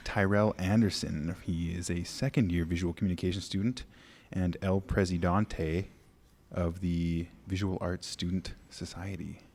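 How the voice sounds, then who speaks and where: A young man hosting a student podcast, relaxed and playful in casual chat. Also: low-pitched, measured pace. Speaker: podcast host.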